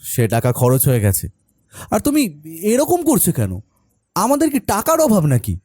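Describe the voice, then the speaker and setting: A high-pitched, whiny spoilt son. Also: male voice, wildly swooping pitch, moderate pace, dark tone, full character voice. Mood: defensive, demanding. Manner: wheedling, insistent. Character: whiny, defensive young spendthrift son of rich family. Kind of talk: audio detective story acting.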